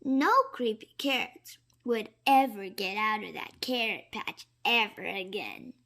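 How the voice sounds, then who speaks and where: A woman reading children's stories aloud in an expressive, dramatic way, with suspenseful pauses. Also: mid-range voice. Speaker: storybook reader.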